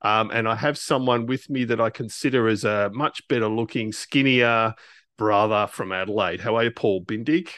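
A man's voice: on the low side, normal speed, fairly bright tone, no room sound, clean studio sound. A man with an Australian accent introducing a podcast in a conversational style as its host.

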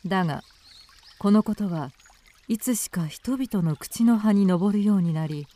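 Slow, mellow woman narrator. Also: medium pitch, lively intonation. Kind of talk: scripted radio drama narration.

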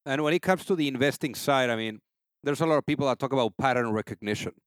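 A man's voice: medium pitch, quick pace, no room sound. A man hosting a business interview podcast, asking loose, conversational questions with many fillers. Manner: relaxed, filler-heavy questions. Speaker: podcast host. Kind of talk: casual interview, reads sponsor ad.

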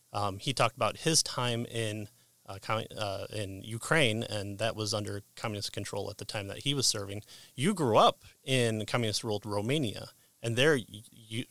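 A man hosting an interview podcast, introducing a guest in a halting way with many fillers. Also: fairly low pitch, vocal fry, a bit bright, no echo. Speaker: podcast host.

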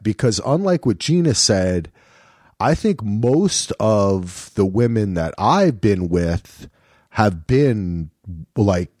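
A man in his fifties with a deep radio-type voice, chatting casually as a podcast co-host. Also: a little mellow, no room sound, clean studio sound. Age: 50s. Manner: chatty, rambling with restarts. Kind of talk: casual chat.

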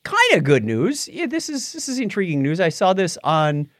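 Male podcast host, very lively intonation, close dry sound, wry and jokey while explaining news in casual banter. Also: high-pitched, moderate pace, dry recording.

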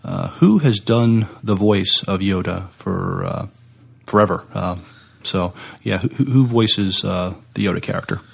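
A man co-hosting a podcast in casual chat, with hesitant, halting phrasing. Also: lowish voice, creaky, slightly rough. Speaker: podcast co-host.

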